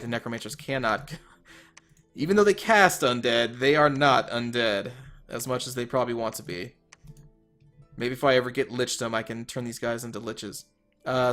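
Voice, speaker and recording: Slightly hoarse man thinking aloud. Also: mid-range voice, a bit bright. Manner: thinking aloud, trailing off, self-correcting. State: slightly hoarse, losing his voice. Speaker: let's player. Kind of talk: casual strategy game commentary.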